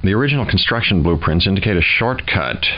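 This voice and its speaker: An actor playing a gloomy, wry scientist who narrates mission notes in a video game, dry and foreboding. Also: male voice, low voice, lively intonation, fast talker. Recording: dull lo-fi game audio.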